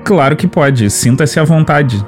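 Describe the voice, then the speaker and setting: A man's voice: on the high side, expressive, a little slow, soft background music. A man playing a kindly, curious warrior adventurer in a fantasy audio drama, with a friendly and courteous manner. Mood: friendly, amused.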